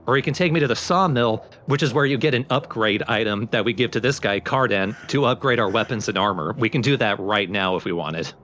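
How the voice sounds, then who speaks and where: A man's voice: fairly low pitch, a little fast, few pauses, a bit bright, slight background noise. A man giving casual, explanatory commentary over a video game, talking informally and step by step. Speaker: let's play commentator. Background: game music under the voice.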